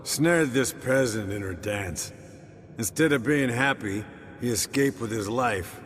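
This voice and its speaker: An actor playing an easygoing, rugged monster hunter in a fantasy game, speaking in clipped, colloquial phrases. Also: male voice, medium pitch, a little slow, fairly bright tone, in a large hall, a little hiss or hum, close to an everyday voice. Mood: amused storytelling.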